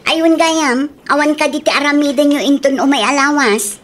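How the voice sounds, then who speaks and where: A woman playing a brisk, stingy female boss in a radio comedy drama. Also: high-pitched, fairly even intonation, a little hiss or hum, natural voice. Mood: bossy.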